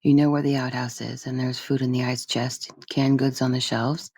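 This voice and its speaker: A woman voicing a gentle, easygoing man in a soft, kindly way, as an audiobook character. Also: extremely low pitch, little pitch movement, normal speed, husky, dry recording, voice pushed down, heavily acted. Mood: gentle, welcoming.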